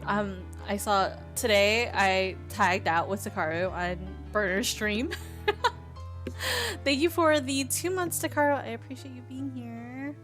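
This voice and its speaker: A young woman streamer with a Midwestern American accent talks slowly and loosely to her chat, giggling often and wandering from thought to thought. She sits at a desk mic at home with faint music playing behind her. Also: a little high, animated, fairly bright tone. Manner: giggly, rambling, reads chat aloud. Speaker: Twitch streamer. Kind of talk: casual chat with stream viewers.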